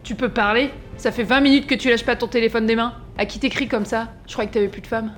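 A woman's voice: on the high side, steady tone, fast talker, faint music behind, close to an everyday voice. A woman playing a hot-tempered gangster in an audio drama, blunt, cutting and scornful. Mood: scornful, hostile. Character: abrasive, hot-tempered female gangster, harsh and mocking.

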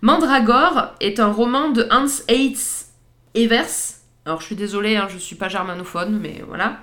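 A woman's voice: medium pitch, normal speed, a bit bright. A woman co-hosting a podcast, chatting casually through pop-culture references with frequent fillers and easy laughs. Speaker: podcast co-host.

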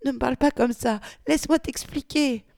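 A woman reading aloud voices a frightened young woman who pleads in a high, pressed voice. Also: lively intonation, normal speed, warm tone, no room sound, studio quality. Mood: pleading, panicky.

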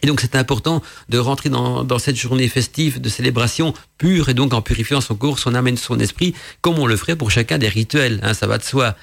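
A man's voice: mid-range voice, brisk, vocal fry, hardly any pauses. A man whose first language is Dutch, hosting a radio talk show and answering a listener's question in hesitant French with many restarts. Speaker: podcast host.

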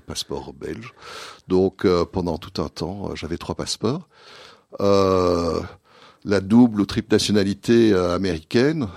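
This is a man with a very low, gravelly voice, interviewed as a business leader. He answers carefully with many small hesitations. The recording is close and dry. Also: a little mellow, no room sound. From born in USA, raised partly in Belgium. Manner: measured, many "euh" hesitations. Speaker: guest, company chief executive.

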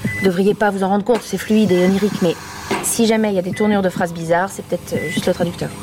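An actress playing a brisk, chatty woman scientist giving a technical briefing in an audio drama. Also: medium pitch, steady tone, background noise, natural voice. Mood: matter-of-fact.

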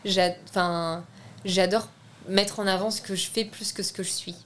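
A woman's voice: medium pitch, fairly even intonation, normal speed, slight background noise. A young woman from France, a filmmaker being interviewed, talking casually with many hesitations and restarts. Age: young adult. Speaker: filmmaker guest.

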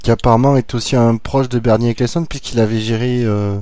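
A laid-back man chatting on a podcast at a middle pitch, laughing easily and letting sentences trail off. The sound is dry and close, with no room around it. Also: fairly quick, dry recording. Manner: relaxed, laughs often, trails off. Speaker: podcast co-host. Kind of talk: casual motorsport chat.

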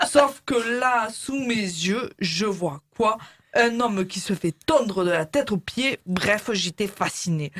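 A woman's voice: mid-range voice, expressive, slow. A woman playing a giggly, rambling beautician. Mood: chatty. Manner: rambling, lots of fillers, cheeky jokes, giggly. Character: chatty bawdy woman beautician, former hairdresser. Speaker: interviewee. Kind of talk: comedy sketch, mock interview.

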